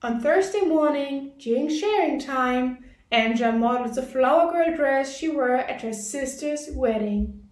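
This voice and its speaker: A woman reads a children's story aloud with careful expression. Her voice is high and her pace is unhurried and slow. The room around her sounds echoey. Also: animated. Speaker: storybook reader. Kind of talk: scripted children's read-aloud narration.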